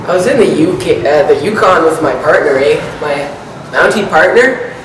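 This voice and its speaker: A man's voice: fairly high pitch, expressive, moderate pace, pitched below the performer's own voice, close to an everyday voice. A man playing a silly, earnest Canadian backwoodsman with a Canadian accent, in improvised comic banter full of questioning tags. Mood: storytelling. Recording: very echoey, distant, some background noise.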